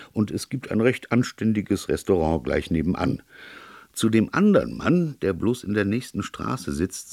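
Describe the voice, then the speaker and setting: A man voicing a stern, lanky British police colonel in a detective audiobook, speaking in a formal, authoritative, clear-cut way. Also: sits low, darkish tone, no echo, clean studio sound, heavily acted. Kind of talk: audiobook character dialogue in a detective story.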